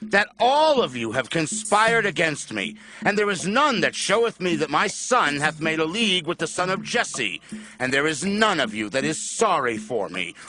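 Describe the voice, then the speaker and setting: Paranoid angry king in audio bible drama, sharp accusing voice, faint music behind. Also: a little high, very animated, moderate pace, poor audio. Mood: bitter accusing. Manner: declamatory, accusing. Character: paranoid, wrathful king accusing his servants.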